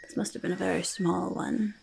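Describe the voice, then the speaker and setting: A woman voicing a curious, friendly forest goblin in a soft ASMR roleplay, speaking haltingly in breathy whispers and creaky murmurs, repeating words. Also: lowish voice, steady tone, a little hiss or hum. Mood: quietly fascinated. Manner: halting, third-person speech with doubled words. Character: curious, eager, friendly forest goblin who hoards treasure.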